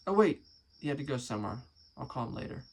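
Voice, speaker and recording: A man's voice: mid-range voice, very animated, fast talker, vocal fry, breathy edge, full character voice. A man doing a blunt, offhand dad. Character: offhand blunt father, middle-aged man.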